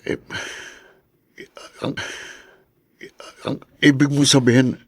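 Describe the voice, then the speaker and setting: Anguished filipino mayor in a radio drama, mid-pitched, stammering, swears he's innocent. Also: flat delivery, slightly rough. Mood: anxious. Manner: halting, stammering. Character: accused town mayor, anguished, protesting his innocence. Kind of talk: Tagalog radio drama acting.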